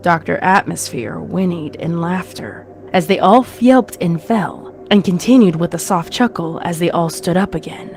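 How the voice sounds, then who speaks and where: A woman's voice: on the low side, moderate pace, few pauses, a little mellow, faint music behind. A woman narrating a scripted horror story as a storyteller.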